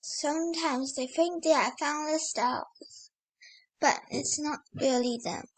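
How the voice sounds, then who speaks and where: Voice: high voice, takes it slowly, low-quality recording. A child hosting a read-aloud, reading a picture book and breaking off to exclaim over the pictures with wonder.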